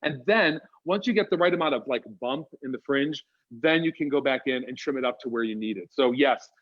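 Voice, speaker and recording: A man's voice: mid-range voice, brisk, muffled recording. A man with an American accent co-hosting a casual live wig demo over a video call, chatty and upbeat, greeting viewers by name.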